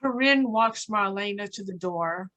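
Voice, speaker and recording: Bright-voiced woman reading stage directions. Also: medium pitch, measured pace, highs cut off. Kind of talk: scripted narration.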